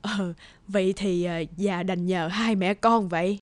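Woman playing a slow, frail old beggar woman. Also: medium pitch, steady tone, dry recording, studio quality, close to an everyday voice. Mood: grateful, humble. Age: older. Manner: slow, pleading, halting. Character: frail, humble old beggar woman, secretly wise spirit. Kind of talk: animated folk-tale acting.

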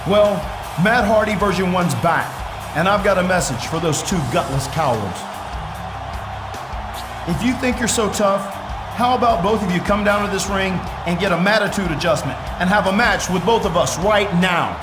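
A man's voice: high voice, lively intonation, moderate pace. A man playing a brash, defiant pro wrestler delivering a challenging promo in a video game. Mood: angry challenge. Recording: echoey arena sound with music.

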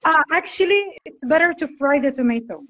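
High-voiced woman on a phone call. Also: lively intonation, a little fast. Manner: gentle, explaining step by step. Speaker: guest cook. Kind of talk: casual video chat. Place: indoor room, phone held close. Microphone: phone at arm's length.

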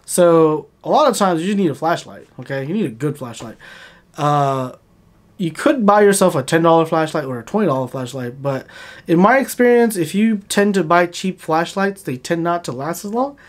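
A man's voice: on the high side, normal speed. A man in his thirties, talking casually to camera about his fishing gear. He keeps checking in with "okay?", recorded in a plain indoor studio. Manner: relaxed, chatty, often checks in with "okay?". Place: plain indoor studio against a grey wall.

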